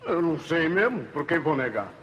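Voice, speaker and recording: A man's voice: a little high, very animated, fairly quick, fairly bright tone, breathy edge, muffled recording, heavily acted. An actor with a Brazilian accent playing a naive, ignorant henchman with blunt uneducated speech in a radio serial. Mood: puzzled. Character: dim, ignorant male henchman of a villain. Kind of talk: old radio serial acting.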